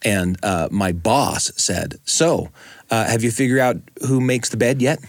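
A man's voice: lowish voice, measured pace, vocal fry, dry recording. A man hosting a radio show, introducing stories and chatting with colleagues in a studio.

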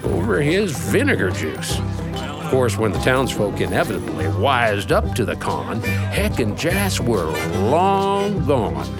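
A man's voice: medium pitch, huge pitch swings, slow pace. A man narrating a western tale in a wry, folksy storytelling manner. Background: guitar music.

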